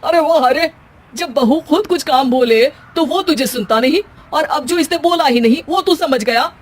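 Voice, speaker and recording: This is a bossy mother-in-law in a storybook family comedy, played by a woman. Her voice sits a little high. She taunts and scolds with dramatic flair, always ready to bicker. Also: normal speed, natural voice. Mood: scolding.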